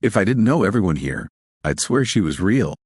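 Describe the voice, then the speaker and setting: A man's voice: on the low side, big pitch swings, moderate pace, husky, no echo, studio quality, exaggerated voice. A man voicing a bewildered, frustrated space station worker in an audio story, questioning and exasperated. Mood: insistent.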